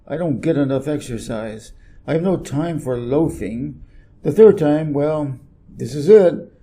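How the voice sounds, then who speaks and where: Pompous, irritable storybook businessman, voiced by a man, mellow. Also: on the high side, expressive, a little slow. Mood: pompous, irritated. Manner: pompous, brusque, emphatic. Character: busy, self-important, irritable middle-aged businessman. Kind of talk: storybook character reading.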